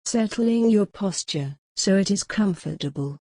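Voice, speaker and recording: A woman leading a guided mindfulness meditation in a gentle, soothing, measured way with long pauses. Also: mid-range voice, expressive, no echo.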